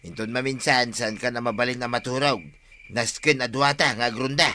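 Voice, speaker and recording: A man's voice: mid-range voice, fairly even intonation, moderate pace, pushed and bright, a little hiss or hum, lightly acted. A man with a Filipino accent playing an exasperated, blunt night-watch partner in a radio drama, scolding and matter-of-fact.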